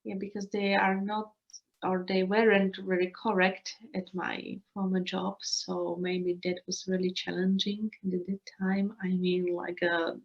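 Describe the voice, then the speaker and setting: Woman from Slovakia, 30s, English, halting interview guest, muffled call audio. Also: on the low side, level tone. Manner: hesitant, many restarts and fillers, laughs often. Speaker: guest, expat coach. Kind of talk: casual interview answers. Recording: slightly dull lo-fi call audio.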